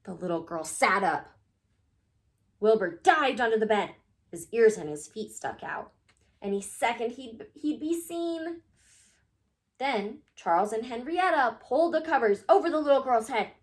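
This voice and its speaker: A woman narrating a children's picture book as an expressive read-aloud storyteller. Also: a little high, unhurried.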